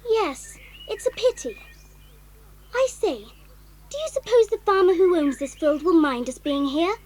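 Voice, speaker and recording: An eager, plain-speaking child in an adventure audio drama. The voice is high and swoops expressively, with a brisk manner. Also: female voice, lively intonation, darkish tone, a little hiss or hum. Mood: concerned.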